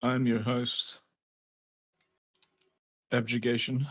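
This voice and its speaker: A man's voice: mid-range voice, little pitch movement, drawn-out words. A man co-hosting a casual game stream with dry, teasing quips. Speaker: co-host of a game dub stream. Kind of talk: casual live stream banter.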